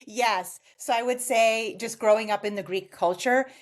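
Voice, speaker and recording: An American woman in her forties, giving measured, explanatory answers as a guest on a podcast interview. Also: a little high. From United States. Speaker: guest, customer success executive.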